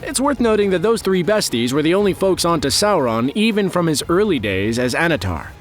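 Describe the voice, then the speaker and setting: A man's voice: a little high, big pitch swings, a little fast, soft background music. A man narrating a scripted explainer in a wry, playful, conversational way, with joking asides. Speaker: presenter of a fantasy lore explainer video.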